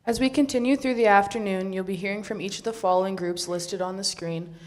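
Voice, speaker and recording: Young female emcee, mid pitch, scripted announcing. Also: fairly even intonation, a little fast, darkish tone. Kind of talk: announcing presenters.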